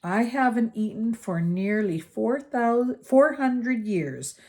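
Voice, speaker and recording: A woman voicing a mournful, courtly old gentleman ghost in an audiobook, speaking formally and wistfully. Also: fairly high pitch, animated, slow pace, pitched above the performer's own voice.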